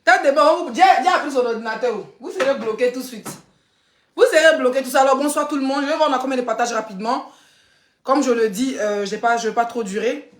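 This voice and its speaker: A woman's voice: high-pitched, brisk, echoey room. A woman, hosting a rambling gossip live stream and often laughing mid-sentence. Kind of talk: casual live-stream commentary.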